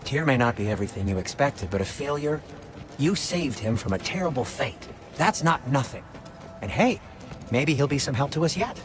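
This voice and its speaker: Man playing a wry dwarf with swooping pitch. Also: sing-song, normal speed, background noise, close to an everyday voice. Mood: reassuring. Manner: wry, conversational, sardonic. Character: wry, guilt-ridden male dwarf craftsman, adult. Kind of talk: naturalistic video game acting.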